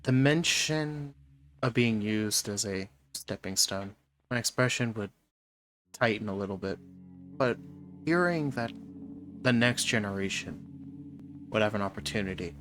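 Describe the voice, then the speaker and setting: A man's voice: fairly low pitch, measured pace, long pauses, fairly bright tone. A man describing his character's reactions aloud as a player in a role-playing scene. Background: music.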